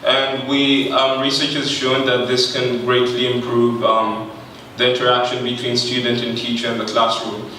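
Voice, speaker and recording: A young Kenyan man speaks at a podium in a big, very echoey hall, heard from a distance. His voice is bright and ringing, and he keeps an earnest, measured pace, with some "um"s along the way. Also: mid-range voice, monotone, a little hiss or hum. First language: not English. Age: young adult. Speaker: student pitching an innovation. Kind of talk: prepared pitch speech. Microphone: podium microphone, recorded from far off.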